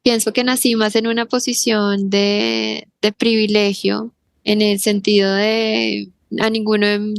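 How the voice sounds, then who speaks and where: Creaky, dry-voiced Colombian woman in her forties. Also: mid-range voice, no room sound. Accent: Bogotá Colombian Spanish. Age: 40s. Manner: thoughtful, searching for words with frequent restarts. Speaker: guest. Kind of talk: reflective conversational interview.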